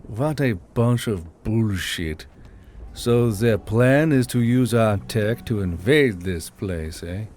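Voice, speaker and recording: A man voicing a gruff, cynical soldier in a story, blunt and sardonic, with faint noise behind. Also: lowish voice, lively intonation, slow, darkish tone.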